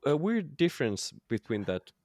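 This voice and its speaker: A man's voice: medium pitch, big pitch swings, moderate pace, darkish tone. A man co-hosting a podcast in casual chat, hesitant and often restarting his sentences. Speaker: podcast co-host.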